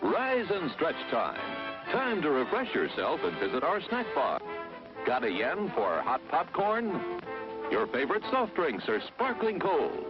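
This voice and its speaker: A very animated male announcer delivers peppy vintage advertising patter. His pitch swoops up and down over music, on a dull old film soundtrack. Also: a little slow. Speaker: drive-in intermission announcer. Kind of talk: vintage drive-in snack bar advertisement.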